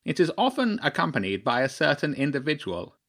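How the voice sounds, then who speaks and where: A man's voice: fairly high pitch, wildly swooping pitch, fairly quick, fairly bright tone, voice pushed up, full character voice. A man voicing a stern, shrewd old crow chairman in a storybook, speaking formally and pompously. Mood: shrewd, measured. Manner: pompous, clipped, formal pronouncements.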